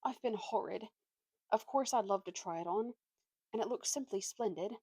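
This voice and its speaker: A woman voicing a gentle, easily persuaded male donkey in a storybook, sounding weary, plaintive and apologetic. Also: medium pitch, moderate pace, no echo, lightly acted.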